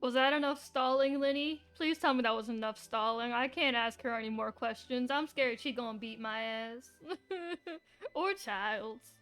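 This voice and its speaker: A woman giving casual, slangy live commentary as she streams a game, irreverent and quick to swear. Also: fairly high pitch, fairly bright tone. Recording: game music behind.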